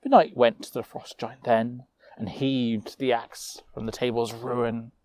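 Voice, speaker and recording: A man narrating a fantasy tale as a storyteller, with a measured, theatrical delivery. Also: medium pitch, little pitch movement, darkish tone.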